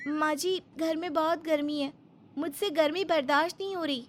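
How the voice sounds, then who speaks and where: A spoiled young wife , acted by a woman, in a family drama. Her voice is high, whiny and demanding, full of complaint. There is some background noise on the recording. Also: steady tone, normal speed, lightly acted. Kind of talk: dramatised Hindi family story acting.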